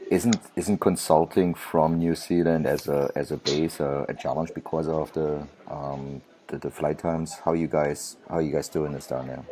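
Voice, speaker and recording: A man's voice: a bass voice, fairly even intonation, normal speed, few pauses, warm tone. A man hosting an interview, asking long, hesitant questions and often repeating words. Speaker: podcast host, interviewer. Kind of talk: conversational interview.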